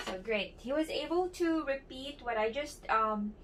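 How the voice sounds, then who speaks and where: A woman's voice: sits high, measured pace, fairly bright tone. A young woman demonstrating a clinical examination, explaining each step as she instructs the patient, with frequent fillers and restarts. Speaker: student demonstrating a clinical exam. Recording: distant mic with faint background noise.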